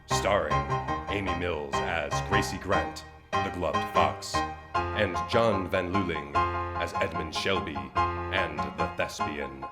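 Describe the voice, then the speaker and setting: A man playing a formal, portentous announcer, narrating in the dramatic style of a 1940s radio serial. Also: deep voice. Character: grave, theatrical male old-time radio announcer. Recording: loud music behind, live studio.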